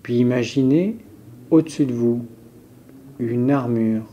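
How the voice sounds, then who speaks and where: A man leading a guided yoga nidra relaxation as a teacher, giving calm, measured instructions with pauses. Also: mid-range voice, lo-fi sound.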